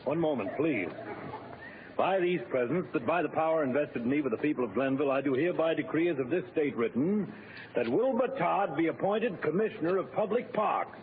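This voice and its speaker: An actor playing a brisk, genial city hall official in a 1940s radio comedy, speaking in a formal, ceremonious manner. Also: male voice, fairly high pitch, expressive, background noise, close to an everyday voice. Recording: muffled old radio broadcast with studio audience.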